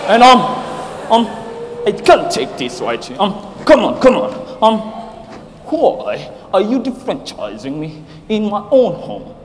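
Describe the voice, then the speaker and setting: A man playing a flustered, indignant head of the household in a comic stage play, halting pompously with constant ums. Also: high-pitched, sing-song. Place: large auditorium stage. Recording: echoey hall, noisy audience, from stage.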